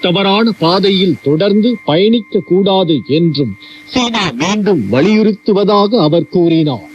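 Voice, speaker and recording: High-pitched male newsreader on muffled shortwave. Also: lively intonation. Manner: formal, measured. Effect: shortwave radio broadcast. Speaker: radio news reader. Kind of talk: scripted news reading. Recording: dull, hissy shortwave radio with hum.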